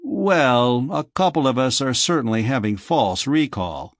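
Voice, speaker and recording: A man's voice: mid-range voice, expressive, unhurried, dry recording, studio quality. Man playing a wary, questioning male exile. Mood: reasoning.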